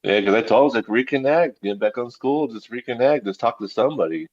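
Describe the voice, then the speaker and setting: A man's voice: medium pitch, animated, a little fast. A man speaking as a guest on a group call, subdued and hesitant, repeating short phrases in casual, personal talk. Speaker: guest on an online community call. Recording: dull, lo-fi call audio.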